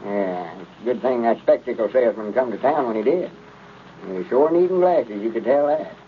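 A man's voice: mid-range voice, lively intonation, normal speed, natural voice. Man playing Lum, know-it-all country old-timer. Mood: folksy. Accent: rural Southern US. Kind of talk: 1930s radio comedy acting. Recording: dull, noisy old radio recording.

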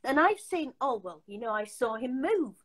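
A woman's voice: high-pitched, big pitch swings, moderate pace, fairly bright tone. Chatty older woman on a video call. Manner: chatty, emphatic, many restarts. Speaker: host. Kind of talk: casual video call chat. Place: home room.

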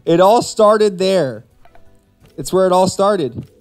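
A man's voice: sits high, animated, takes it slowly, a little mellow. A man hosting a live stream, chatting casually and giving opinions with emphatic, rhetorical build-up. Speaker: host of a gaming and movie live stream. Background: background music.

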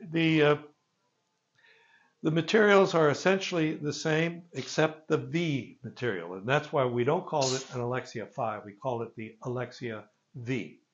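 An older man giving an informal product presentation in a gravelly voice, with halting, hesitant phrasing, recorded on a phone a few meters away. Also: mid-range voice, dull audio, a little hiss or hum. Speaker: loudspeaker company presenter. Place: carpeted listening room with stone wall, indoors. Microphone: phone camera a few meters away.

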